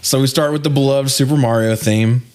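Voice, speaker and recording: A man's voice: mid-range voice, steady tone, brisk, a little mellow. A man in his forties co-hosting a podcast, chatting casually and telling stories in an informal, sweary, joking way. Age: 40s. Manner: informal, profane, jokey storytelling. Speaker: podcast co-host. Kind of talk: casual film review chat.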